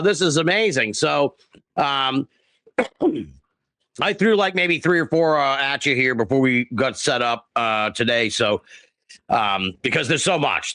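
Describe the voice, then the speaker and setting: A man's voice: mid-range voice, bright, forceful tone, dry recording. A man with an American accent hosting a casual interview, effusive and rambling as he talks. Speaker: podcast host, interviewer.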